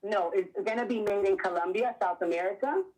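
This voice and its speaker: A woman's voice: medium pitch, brisk, a little mellow, poor audio. A woman from Miami, probably in her thirties, earnestly explaining her clothing line as an interview guest, with some fillers. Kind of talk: casual interview answers.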